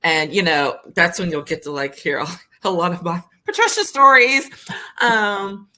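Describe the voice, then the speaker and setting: A woman's voice: on the low side, expressive, measured pace, sharp tone. A woman co-hosting a podcast conversation, casual and chatty. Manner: casual, chatty, laughing easily. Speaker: co-host.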